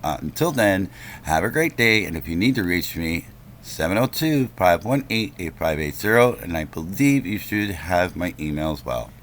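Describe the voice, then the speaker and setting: A man's voice: sits low, big pitch swings, normal speed, fairly bright tone, a little hiss or hum. A man making a complaint on a phone call, speaking in an insistent, confrontational, lecturing manner.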